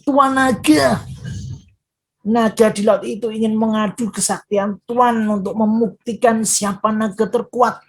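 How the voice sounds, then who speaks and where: A woman voicing a sly, flattering little fish in a children's folktale, calling out in a dramatic, deferential way. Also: fairly high pitch, measured pace, husky, voice pushed up. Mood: flattering, provoking. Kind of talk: children's storytelling character voice.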